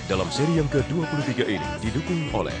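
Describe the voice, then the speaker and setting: Casting: male radio drama announcer, mid-pitched, lively delivery, loud guitar music underneath. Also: fast.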